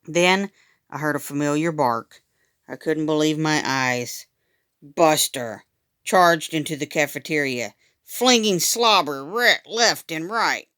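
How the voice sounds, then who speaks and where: A woman reading a children's story aloud in an animated, expressive way, acting out the dialogue as she goes. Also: deep voice, slow pace, no echo, clean studio sound.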